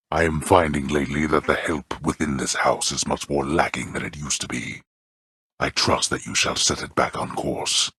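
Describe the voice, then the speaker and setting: An actor voicing a stern, contemptuous god of the dead in a video game, a haughty father with formal archaic speech and a gravelly voice. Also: very deep voice, a bit bright, no echo, clean studio sound, full character voice. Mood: cold reprimand. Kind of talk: video game character voice acting.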